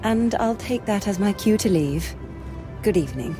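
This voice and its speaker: An actress playing a young, well-bred British lady with an educated English accent, outspoken and expressive, in a video game. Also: medium pitch, normal speed, music in the background, close to an everyday voice. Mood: polite, chatty. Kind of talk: video game dialogue acting.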